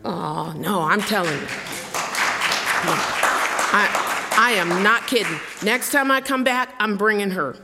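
A woman's voice: medium pitch, slow, vocal fry, pauses often, over a noisy crowd, very noisy. Woman, middle-aged, singer-songwriter, rambling onstage story, chatty, long hall reverb. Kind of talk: storytelling between songs at a live show. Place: live concert venue with audience.

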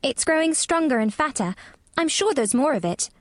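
A woman's voice: high-pitched, sing-song, moderate pace, no room sound, studio quality, pitched above the performer's own voice. A woman voicing a frank, inquisitive and eager young girl in a storybook, speaking in an emphatic, wondering way. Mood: eager, delighted. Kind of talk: audiobook character dialogue.

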